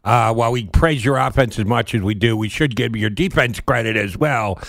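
A man's voice: mid-range voice, moderate pace, creaky, fairly bright tone, no room sound. A man hosting a sports radio show, asking his guest long, conversational questions.

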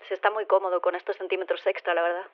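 An actress playing an easygoing phone-in guest in an audio drama, casual and matter-of-fact, with an accent from Spain. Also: female voice, lowish voice, flat delivery, very fast, natural voice. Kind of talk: conversational fiction interview. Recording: tinny narrow telephone line.

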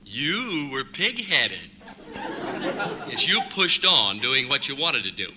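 An actor playing a scolding, taunting inner conscience in a 1950s radio comedy, needling on a faintly noisy old recording. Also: male voice, medium pitch, sing-song, takes it slowly, a crowd in the background.

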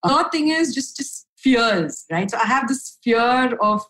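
A young adult woman from India, a podcast guest speaking frankly and upbeat, with a low voice for a woman and casual fillers. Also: lively intonation, unhurried, a bit bright. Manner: frank, upbeat, lots of fillers and "right?" tags. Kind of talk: candid conversational storytelling.

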